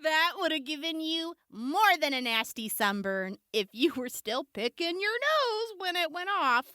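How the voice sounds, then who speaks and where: An actress playing a prickly, teasing wasteland traveler in an audio drama. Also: female voice, high-pitched, sing-song, measured pace, sharp tone, no echo, clean studio sound, full character voice. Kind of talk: post-apocalyptic audio drama acting.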